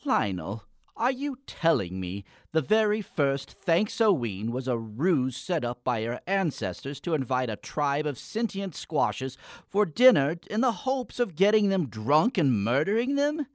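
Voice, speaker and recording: A man's voice: a little high, very animated, measured pace, dry recording, clean studio sound. A man playing a morose, skeptical boy in a comic gothic radio play, with a formal, wordy, exasperated manner.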